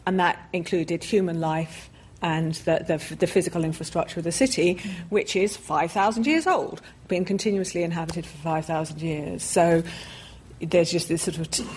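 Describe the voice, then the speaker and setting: A woman's voice: fairly low pitch, darkish tone, a little hiss or hum. A woman speaking as an expert on a panel, explaining off the cuff and often repeating words as she goes. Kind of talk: extemporaneous explanatory panel talk. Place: public panel event on a stage.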